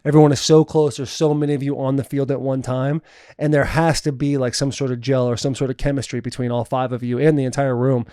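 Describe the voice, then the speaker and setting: A man talks football with an easy, rambling flow, tossing in "dude" as he goes. His voice is warm and mellow, recorded close and dry with no room sound. It sounds like two friends chatting on a podcast. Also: mid-range voice, quick pace, smooth, dry recording. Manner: relaxed, talks in long runs with fillers like "dude". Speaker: podcast co-host, former football player. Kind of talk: casual sports chat.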